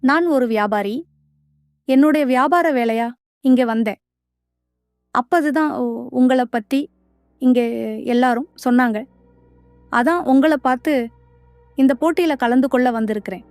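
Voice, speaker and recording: A clever cartoon heroine, put on by the narrating woman, speaks in a high, dry, close voice. She is earnest and bold, set on winning. Faint music plays behind her. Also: a little slow, pauses often, a little mellow, dry recording. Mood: determined. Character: brave clever young wife, determined. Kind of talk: cartoon voice acting.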